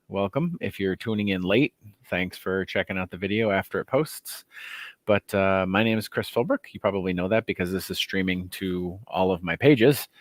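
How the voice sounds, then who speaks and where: A man's voice: lowish voice, dry recording. A man hosting a livestream, chatting casually and joking, rambling with many fillers. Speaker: host.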